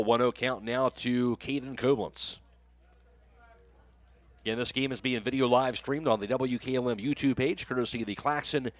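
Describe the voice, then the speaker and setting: A man's voice: medium pitch, no room sound. Male American baseball play-by-play announcer. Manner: clipped phrases, describes action as it happens. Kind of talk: live baseball play-by-play commentary.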